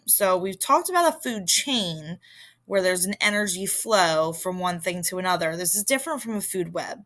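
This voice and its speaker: A woman's voice: mid-range voice, a bit bright. A woman with a Philadelphia accent giving an informal lesson as a teacher, explaining things conversationally and speaking directly to students. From Philadelphia area, United States. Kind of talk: informal recorded vocabulary lesson.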